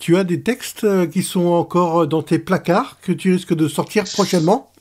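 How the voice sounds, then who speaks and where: A man interviewing casually on radio, halting as he searches for his words. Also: sits high, slight background noise.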